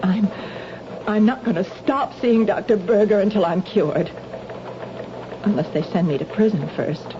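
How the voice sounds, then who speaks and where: A woman's voice: mid-range voice, a little slow, pauses often, lightly acted. An actress playing an anxious, pleading married woman who steals compulsively, in a 1970s radio drama. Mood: shaken, resolute. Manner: emotional, pleading, protesting. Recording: dull, noisy old radio recording.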